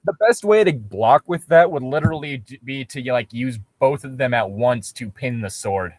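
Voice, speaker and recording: A man's voice: mid-range voice, expressive, moderate pace, dry recording. A man co-hosting a reading show, giving casual critical commentary on a story among friends. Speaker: co-host of a fan fiction reading show.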